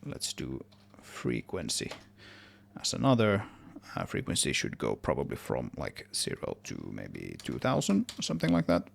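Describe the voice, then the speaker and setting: A man giving a casual software tutorial, thinking aloud, correcting himself and trailing off as he works. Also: rumbling low, huge pitch swings, normal speed, vocal fry. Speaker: tutorial presenter, game audio developer.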